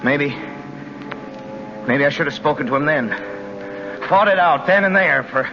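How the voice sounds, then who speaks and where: A haunted, stammering driver. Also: male voice, fairly high pitch, a little slow, over music. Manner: stammering, frantic and pleading. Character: haunted young male driver, nervous and desperate. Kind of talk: 1940s radio drama acting with first-person narration. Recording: muffled 1940s radio broadcast with hiss.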